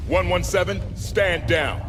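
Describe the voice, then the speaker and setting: An actor playing a stern, authoritative armored squad leader in a video game, speaking in clipped orders. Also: male voice, high-pitched, a little slow, noisy background. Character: commanding armored male military squad leader, stern, urgent. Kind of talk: video game cutscene acting. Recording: loud music and effects behind.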